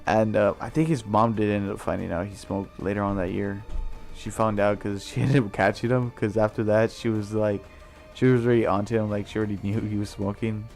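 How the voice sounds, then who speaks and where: A man's voice: on the low side, little pitch movement, fairly quick, vocal fry, darkish tone, soft background music. A young adult man telling a casual story, slangy, rambling and sweary.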